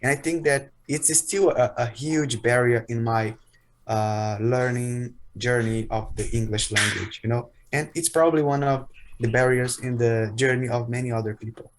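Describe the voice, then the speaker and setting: A man's voice: medium pitch, steady tone, moderate pace. A man learning English, answering chattily and often adding "you know". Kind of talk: casual answer to a question.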